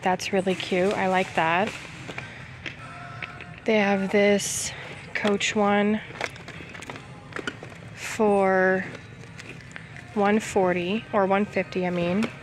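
A woman's voice: medium pitch, flat delivery, over music. A woman in her thirties giving casual item-by-item vlog commentary while shopping, filmed on a handheld camera. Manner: unhurried, item-by-item commentary with pauses. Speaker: vlogger. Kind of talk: casual shop-with-me vlog narration. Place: retail store aisles, some in a parked car. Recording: clear background store noise and ambience.

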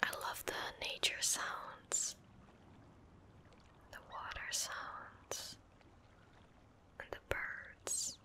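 A woman in her twenties giving calm, gentle ASMR game commentary in a breathy whisper, close to the microphone. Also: extremely slow, pauses often. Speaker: streamer narrating her gameplay. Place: indoor room at a gaming desk. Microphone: close desk microphone.

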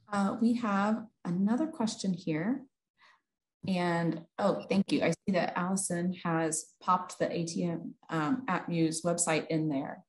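A woman in her thirties moderates a video call. Her voice sits a little low as she thanks participants and reads questions out. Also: poor audio. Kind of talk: moderating questions, reading aloud. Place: office with wooden doors, indoors. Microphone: video call computer mic.

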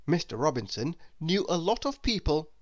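A storyteller reading a children's book aloud in an expressive, measured manner. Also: medium pitch. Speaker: storybook narrator. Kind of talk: children's read-aloud narration.

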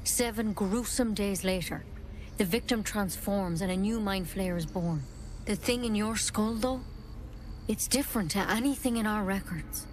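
A woman playing an earnest, knowledgeable druid in a video game, speaking in a frank, measured, explanatory way. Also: mid-range voice, slight background noise, lightly acted. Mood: grave, explaining. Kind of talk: video game dialogue acting.

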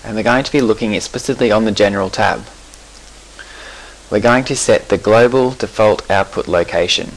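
A man talking through a software settings screen in a relaxed, explanatory way, pausing with fillers and correcting himself. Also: fairly low pitch, low-quality recording. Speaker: software tutorial presenter.

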